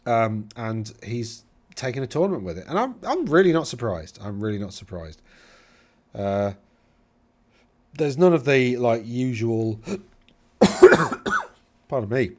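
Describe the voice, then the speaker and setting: A man's voice: medium pitch, big pitch swings, measured pace, pauses often, a little mellow. A man from England with a Middle England accent, casually talking through tournament army lists, rambling and often correcting himself. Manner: rambling, self-correcting, chatty asides. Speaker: host reviewing tournament lists. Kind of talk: casual solo commentary.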